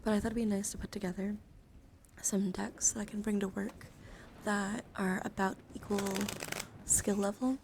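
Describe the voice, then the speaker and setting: Casting: female ASMR streamer, hushed and half-whispered chat, creaky fry, close mic, faint noise behind. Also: medium pitch, steady tone, moderate pace. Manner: hushed, often slipping into whisper. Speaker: livestreamer.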